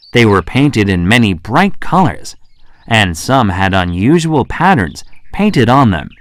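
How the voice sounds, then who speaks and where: An actor playing a curious, polite traveler who narrates his own children's story with expressive, clear phrasing. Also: mid-range voice. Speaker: first-person narrator.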